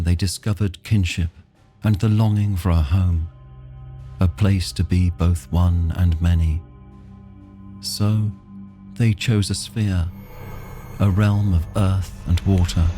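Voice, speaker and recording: An actor playing a grave storyteller, narrating a fantasy audio drama in measured, poetic phrases. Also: male voice, low-pitched, mellow. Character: grave male storyteller of a creation myth. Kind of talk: solemn scripted creation-myth narration, fantasy audio drama.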